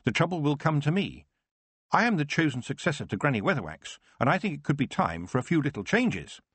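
A man's voice: on the high side, sing-song, brisk, a bit bright, slightly rough, no room sound, pitched above the performer's own voice, heavily acted. A man reading aloud, voicing an earnest young woman witch who is proud of her craft. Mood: earnest, confident. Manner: earnest, heartfelt.

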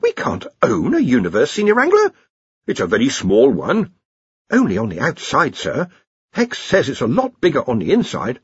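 A man's voice: medium pitch, wildly swooping pitch, normal speed, breathy edge, dry recording, muffled recording, exaggerated voice. A man voicing an earnest, nervous young wizard researcher in an audiobook, hesitant and deferential. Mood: anxious, correcting.

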